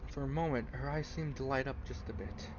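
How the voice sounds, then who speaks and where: A man's voice: medium pitch, a little fast. A man reading a visual novel aloud as an amateur gamer, with casual commentary along the way. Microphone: poor microphone. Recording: muffled lo-fi mic with loud noise.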